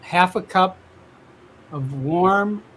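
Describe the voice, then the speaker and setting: Laid-back guy on a podcast panel, talks real slow, a little faint noise behind him. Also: sits high, fairly bright tone, low-quality recording. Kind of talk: casual chat on a livestream panel.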